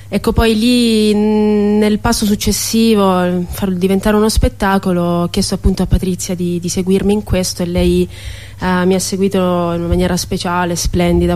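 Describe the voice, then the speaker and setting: A woman speaking as a theatre guest in a spontaneous radio interview, earnest and hesitant, with reflective pauses. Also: mid-range voice, a little mellow.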